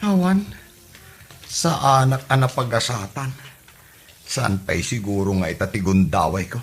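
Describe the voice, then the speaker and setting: A man's voice: fairly low pitch, a little slow, faint music behind. A man acting a dejected, worried husband who lost an election, in a lamenting comedy radio drama style.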